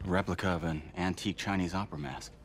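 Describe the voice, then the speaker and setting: A middle-aged man playing a composed, courteous businessman in a game cutscene, measured and quietly grave. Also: low voice, a little hiss or hum, lightly acted. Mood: grave, cautioning. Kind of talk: naturalistic video game cutscene acting.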